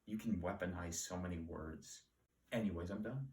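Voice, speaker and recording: A man teaching word origins in a relaxed, rambling, self-deprecating way. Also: sits low, normal speed, far from the mic. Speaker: Latin etymology teacher. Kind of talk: casual solo etymology lesson. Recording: echoey room, voice off-mic.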